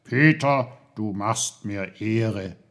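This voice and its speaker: A man's voice: on the low side, very slow, fairly bright tone. A man voicing a tiny, kindly, wise magical uncle in a children's story, instructing in an emphatic, avuncular way. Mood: proud. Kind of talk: German children's storybook character voice.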